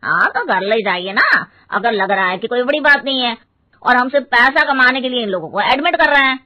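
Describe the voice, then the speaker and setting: A woman voicing a brisk, sarcastic hospital doctor in a cartoon story. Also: a little high, animated, sharp tone, no room sound.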